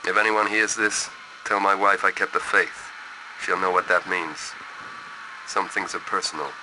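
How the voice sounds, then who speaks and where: A man's voice: sits low, steady tone, normal speed, lightly acted. A man playing a doomed, fatalistic crewman, grim and terse, leaving last words on a noisy recorder in a video game. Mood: fatalistic, resigned. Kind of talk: video game audio log acting.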